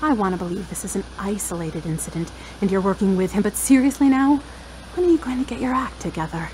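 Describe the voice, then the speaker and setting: A woman's voice: mid-range voice, quick pace, a little mellow, natural voice, rough recording. Woman acting hurt, blunt young woman, faint noise. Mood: reproachful, pleading. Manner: frank, searching. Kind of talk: audio drama acting.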